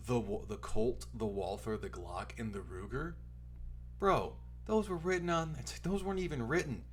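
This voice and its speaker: A man giving casual, emphatic, sweary commentary as a video creator, with dramatic asides. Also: mid-range voice. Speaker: video creator reading out his online argument. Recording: noisy recording with clear hum.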